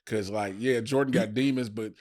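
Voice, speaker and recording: A man's voice: mid-range voice, steady tone, normal speed, a little mellow. A man co-hosting a podcast, making emphatic, slangy, profane arguments in casual joking chat. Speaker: podcast co-host.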